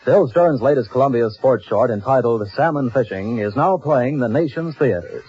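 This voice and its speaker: Lively American 1940s radio announcer, male. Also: medium pitch, normal speed, husky. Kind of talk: 1940s radio ad copy reading. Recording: dull, noisy 1940s radio broadcast.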